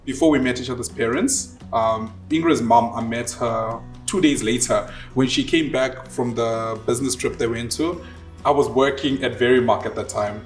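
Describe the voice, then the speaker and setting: A man in his twenties with a Southern African accent tells a personal story in a casual interview. He is an animated talker who slips into quoted dialogue. Soft guitar music plays underneath. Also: mid-range voice. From Zimbabwe and South Africa. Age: 20s.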